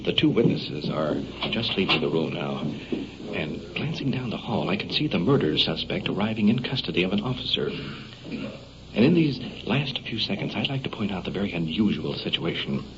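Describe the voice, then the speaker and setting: American male, 1950s documentary reporter. Low, formal, measured narration on a dull, noisy old radio recording. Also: big pitch swings. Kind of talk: 1950s radio documentary commentary, live on the scene.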